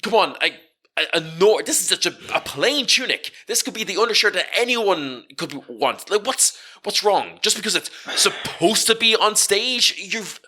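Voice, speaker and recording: An exasperated, street-smart woman hustler acted out by a man in a tabletop game. The voice swings widely up and down with a bright, forceful edge. She stammers and haggles as she argues her case. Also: high voice, voice pushed up, full character voice. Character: Rowena, exasperated street-smart female hustler of stolen goods. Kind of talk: improvised tabletop role-play.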